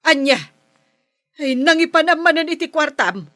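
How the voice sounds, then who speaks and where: A woman's voice: sits high, expressive, a little slow, pushed and bright, dry recording, exaggerated voice. A woman playing a scolding, suspicious village captain's wife in an Ilocano comedy drama, with nagging exclamations and an Ilocano accent. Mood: angry scolding.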